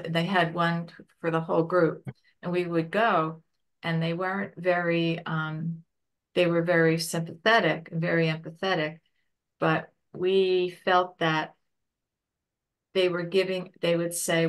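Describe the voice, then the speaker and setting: Hesitant low-voiced woman presenter. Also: rough recording. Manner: hesitant, searching for words. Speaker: webinar presenter on grief. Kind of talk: online lecture and Q&A.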